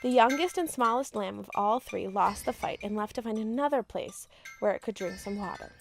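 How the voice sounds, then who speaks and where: A woman's voice: mid-range voice, a little fast, gravelly. A woman narrating a children's fairy tale as a storyteller, reading from a script. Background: music.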